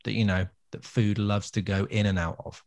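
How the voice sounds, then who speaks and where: A man's voice: fairly low pitch, flat delivery, moderate pace, no echo, studio quality. A man co-hosting a podcast, chatting casually and explaining things for listeners. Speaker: podcast co-host. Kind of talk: casual chat.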